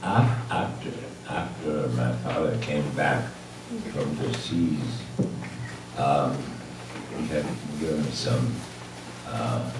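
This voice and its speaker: A hundred-year-old man from Egypt, reminiscing unscripted to an audience in a halting way, searching for his words. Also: extremely low pitch. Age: 100. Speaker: honoree at a birthday tribute. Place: large echoey room with an audience. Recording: echoey room, distant mic, background noise.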